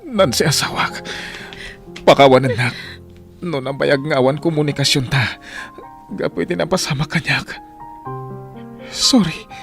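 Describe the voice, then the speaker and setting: A man's voice: fairly high pitch, measured pace, gravelly, long pauses, music in the background. A man playing a sincere, sentimental young husband in an Ilocano radio drama, with an Ilocano accent. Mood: remorseful. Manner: earnest, sentimental.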